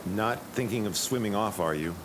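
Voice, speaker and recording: An actor playing an earnest American military pilot in an audio drama, with an American accent. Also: male voice, lowish voice, moderate pace, music in the background, lightly acted, low-quality recording. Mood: earnest, pleading.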